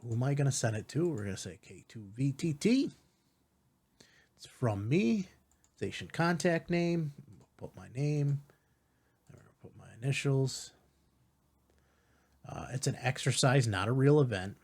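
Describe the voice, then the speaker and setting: A man hosting a casual step-by-step software tutorial, explaining as he goes and often checking in with the listener. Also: medium pitch, animated, measured pace, pauses often, breathy edge. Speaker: tutorial host.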